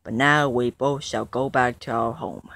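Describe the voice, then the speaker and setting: A woman voicing a father dinosaur who speaks softly, slowly and kindly. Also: rumbling low, vocal fry, voice pushed down, heavily acted. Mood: relieved. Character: gentle father dinosaur, grown male.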